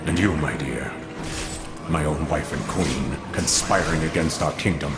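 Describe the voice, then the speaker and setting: An actor playing a cold, paranoid middle-aged king with an icy, measured delivery. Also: rumbling low. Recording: echoey game audio with loud music.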